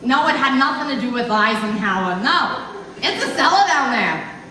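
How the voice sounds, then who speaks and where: A woman playing an embarrassed, reluctant old lady in a theatrical monologue, chatty and halting as she reminisces about her youth. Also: high voice, animated, bright, forceful tone. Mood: disgusted, dismissive. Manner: flustered, chatty, halting, exclamatory. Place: live stage in a large hall. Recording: echoey hall from far off, faint noise.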